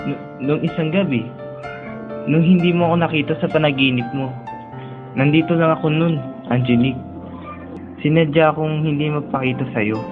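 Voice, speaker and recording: A man with a Filipino accent playing a gentle, lovelorn young man who speaks earnestly and tenderly in a romantic radio drama. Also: fairly high pitch, normal speed, long pauses, narrow, tinny audio, lightly acted. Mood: regretful. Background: music.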